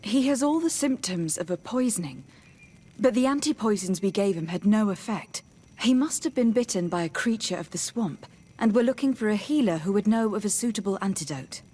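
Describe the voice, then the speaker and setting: Husky, composed young noblewoman diplomat. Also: mid-range voice, expressive, brisk, mellow. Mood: earnest. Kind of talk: video game dialogue acting.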